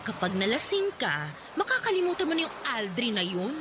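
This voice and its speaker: A woman's voice: a little high, big pitch swings, fairly quick. An actress playing a blunt, meddling young female friend in a radio drama, teasing and pushy. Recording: clear background noise.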